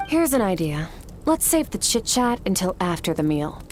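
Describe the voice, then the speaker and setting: A woman's voice: mid-range voice, sing-song, moderate pace, darkish tone, faint music behind, natural voice. A woman voicing a cool, aloof warrior from beyond the stars in an anime game, composed, terse and formal. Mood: cool, reserved.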